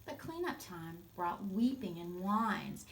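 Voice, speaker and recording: A woman teacher reading a storybook aloud to children, expressive and instructive, pausing to explain things simply. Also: lowish voice, rough recording.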